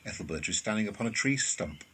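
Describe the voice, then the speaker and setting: A man's voice: fairly low pitch, animated, fast, fairly bright tone. A man reading scripted narration for an audio drama. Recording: faint ambient background noise.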